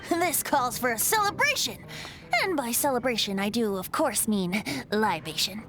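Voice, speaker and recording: Breezy young bard god. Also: female voice, on the high side, animated, moderate pace, fairly bright tone. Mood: cheerful. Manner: breezy, teasing and carefree. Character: playful young male bard, a wind god in human form. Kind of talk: video game voice acting. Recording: game score under dialogue.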